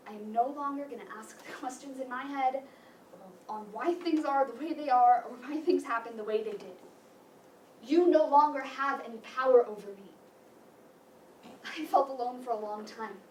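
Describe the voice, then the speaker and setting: An actress playing a hurt, bitter, fed-up sister in a staged play reading. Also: female voice, sits high, normal speed, darkish tone, lightly acted. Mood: hurt, resolute. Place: meeting room staged reading, distant camera. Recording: echoey room from far off, noisy.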